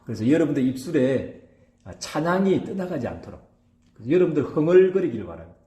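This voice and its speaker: A man's voice: on the high side, big pitch swings, fast, dark tone, echoey room. A male pastor delivering a sermon in a regional dialect. He speaks emphatically, checks in with his congregation by asking "right?", and fills the gaps between phrases with hesitations. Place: church.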